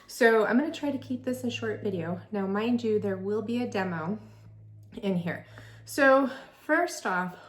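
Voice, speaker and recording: A woman's voice: mid-range voice, animated, measured pace. A woman in her forties, an esthetician, chatting through a skincare device review in an enthusiastic, friendly way. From California. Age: 40s. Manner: chatty, enthusiastic, reads specs aloud. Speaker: esthetician and beauty vlogger. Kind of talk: product review vlog.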